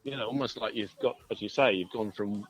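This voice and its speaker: A man speaking as a musician guest in a casual interview, explaining things in a rambling, halting way with many false starts. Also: on the low side, slight background noise, rough recording. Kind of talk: casual chat.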